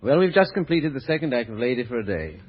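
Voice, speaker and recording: Brisk, formal male 1930s radio host. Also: mid-range voice, very animated. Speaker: guest host of radio theater. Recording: muffled old broadcast, long hall reverb.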